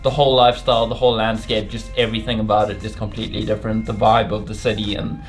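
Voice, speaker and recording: A man's voice: lowish voice, quick pace, faint music behind. A young man, a vlogger, chatting casually and rambling a little as he answers viewer questions with his girlfriend. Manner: relaxed, rambling, laughs often. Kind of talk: casual couple Q&A.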